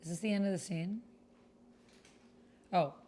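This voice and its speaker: A woman with a slightly low, soft-edged mellow voice hosts a livestream of a text roleplay game. She talks straight to her viewers in a chatty way and moves between casual remarks and reading game posts aloud. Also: a little slow, a little hiss or hum. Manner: chatty, addresses viewers directly. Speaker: streamer hosting a tabletop roleplay game.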